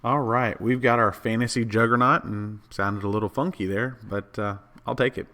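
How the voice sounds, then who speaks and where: A man whose voice is hoarse and a little rough from being sick. He talks in a loose, easygoing way on a podcast, leaning on filler words, and his pitch sits slightly on the low side. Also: fairly even intonation, moderate pace, bright, forceful tone. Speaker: podcast co-host. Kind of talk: casual chat.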